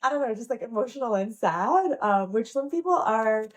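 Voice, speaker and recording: A woman's voice: fairly high pitch, lively intonation, normal speed. Bubbly giggly woman, heavy fillers. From United States. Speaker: guest, pole dance instructor and playwright. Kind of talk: casual interview chat.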